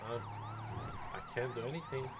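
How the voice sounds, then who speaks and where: A man's voice: medium pitch, huge pitch swings, normal speed. A man chatting casually and profanely as he streams an in-game roleplay. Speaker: roleplay streamer playing a medic. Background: game sirens and vehicle noise.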